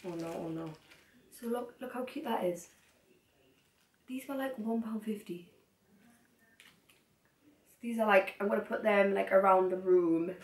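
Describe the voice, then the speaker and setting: A young woman sitting on her living room floor, chatting excitedly to a camera set some distance away and rambling off into asides. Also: mid-range voice, a little slow, pauses often, a little hiss or hum. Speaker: vlogger. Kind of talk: casual haul chat to camera. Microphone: far camera. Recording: echoey room, mic at a distance.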